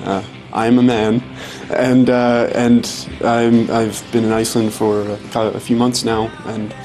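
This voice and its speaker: A man in his twenties from Canada, introducing himself in a halting way with many fillers. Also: medium pitch, flat delivery, a little mellow, soft background music, poor audio. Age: 20s. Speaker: violinist. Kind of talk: casual self-introduction.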